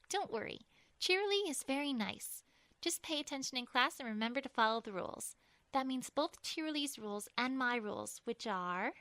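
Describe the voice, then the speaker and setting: A woman voicing a caring, sensible young mare in an acted audiobook, reassuring and gently instructive. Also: high-pitched, animated, normal speed, no echo. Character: caring, sensible young female pony guardian.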